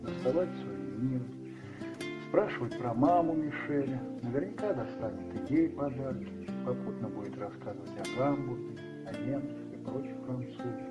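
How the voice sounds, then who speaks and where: A man narrating a radio play as dramatic literary storytelling, musing and rhetorical, with dramatic pauses. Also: mid-range voice. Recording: roomy, slightly muffled, with music behind.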